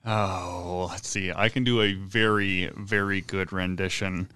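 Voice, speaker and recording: A man's voice: low-pitched, steady tone, measured pace, sharp tone, smooth, dry recording. A man co-hosting a casual podcast, talking enthusiastically and laughing as he goes. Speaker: co-host.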